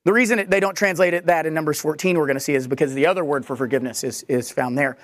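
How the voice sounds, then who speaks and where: Casting: teaching preacher, medium pitch, dry close-miked sound, repeats and rephrases key words, restarts often. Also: rapid-fire, no echo. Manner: explains word meanings with repeated restatements and frequent restarts. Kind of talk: expository sermon on scripture.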